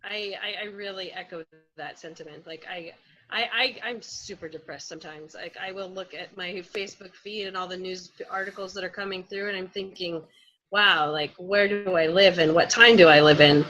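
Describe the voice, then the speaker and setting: A woman on a panel talking personally and conversationally, with emphatic, halting restarts. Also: lowish voice, steady tone, a bit bright, rough recording.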